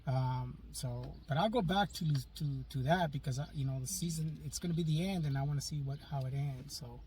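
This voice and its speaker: A man's voice: a little high, fairly quick, a little mellow. A man co-hosting a casual podcast, rambling and repeating himself, with corny dad jokes, sitting outdoors in an open-top Jeep. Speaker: podcast co-host. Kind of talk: casual chat. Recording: faint noise, distant from mic.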